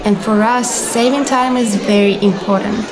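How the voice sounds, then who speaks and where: A young woman reading scripted travel vlog narration in a clear, orderly, explanatory manner. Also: a little high, steady tone, normal speed, crowd noise. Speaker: vlogger and cruise ship receptionist. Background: background music.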